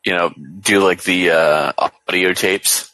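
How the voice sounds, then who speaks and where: A man's voice: deep voice, fairly even intonation, a little slow, bright, forceful tone, dry recording, low-quality recording. A man co-hosting a casual podcast chat, rambling and chuckling. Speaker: podcast co-host.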